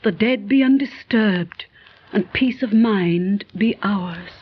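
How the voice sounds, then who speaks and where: A woman's voice: medium pitch, slow pace, slightly rough. An actress playing a solemn woman in theatrical 1930s radio style. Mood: grateful, solemn. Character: solemn, grateful woman pleading for the dead. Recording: muffled 1930s radio recording.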